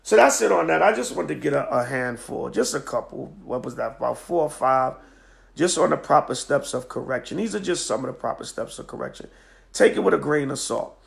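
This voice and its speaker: A man with a very gravelly, hoarse voice, worn down by a cold, gives an emphatic scripture lesson. He speaks at a middle pitch into a phone propped on a stand at home, checking in with his listeners again and again. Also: expressive, brisk. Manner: emphatic, preacherly, with many "all right?" checks. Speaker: teacher of a religious group. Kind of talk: live-streamed scripture lesson. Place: home at night.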